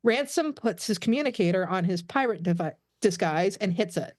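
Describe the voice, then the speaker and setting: A woman narrates a script at a table read, reading out stage directions. Her mid-pitched voice moves with lively intonation and is recorded close and dry. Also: normal speed, no echo.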